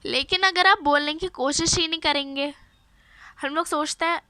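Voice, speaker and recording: A young woman with a cold and a hoarse voice, hosting a casual self-help video and chatting to viewers, often restarting her phrases. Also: high voice, normal speed, bright, forceful tone, slight background noise. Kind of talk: casual advice talk to camera.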